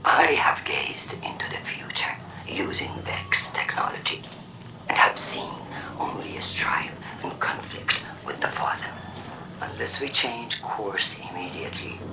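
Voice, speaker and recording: A woman playing a stern, suspicious faction leader in a video game, making an accusatory, deliberate speech in a breathy voice. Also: a bass voice, big pitch swings, in a whisper, exaggerated voice. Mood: suspicious, ominous. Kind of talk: cinematic video game propaganda broadcast. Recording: distant voice under loud music. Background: loud game soundtrack.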